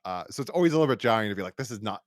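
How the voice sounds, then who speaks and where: A man's voice: fairly low pitch, lively intonation, rapid-fire, dry recording, clean studio sound. An American man hosting a podcast, chatting casually and wordily, with stammering restarts. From United States. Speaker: podcast host. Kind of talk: casual review podcast chat.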